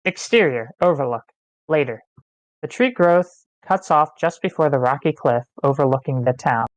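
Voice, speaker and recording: A reader giving plain, measured scripted narration of screenplay stage directions. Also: mid-range voice, animated, no echo, low-quality recording. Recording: online meeting, close and dry.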